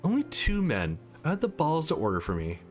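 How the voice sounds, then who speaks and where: A man's voice: on the high side, huge pitch swings, a little fast, soft background music. A man voicing a confident, sardonic businesswoman with a teasing, probing manner in a visual novel. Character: sardonic, confident female business boss on a dinner date.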